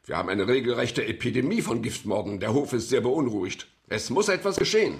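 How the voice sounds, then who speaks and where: A man playing an authoritative, cautious police president in a German radio crime drama, with a deliberate, official manner. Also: mid-range voice, very animated, lightly acted. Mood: agitated, emphatic.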